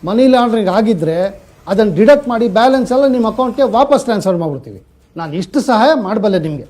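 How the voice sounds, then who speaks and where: A man's voice: sits high, expressive, quick pace, a little mellow. A man playing a fake senior police officer, a manipulative and condescending scammer in an awareness skit. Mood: coaxing, official. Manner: condescending, authoritative.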